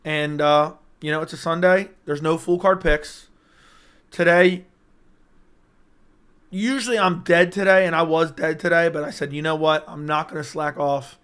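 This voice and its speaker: A young man talks loosely to his livestream chat, rambling and hyped, answering comments as they come in. His voice is strained and keeps cutting in and out, though its tone underneath stays clear. It sits a little high for a man. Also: fairly even intonation, normal speed, fairly bright tone. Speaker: MMA YouTuber hosting a livestream. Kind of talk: casual livestream chat reading viewer comments. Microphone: personal streaming mic.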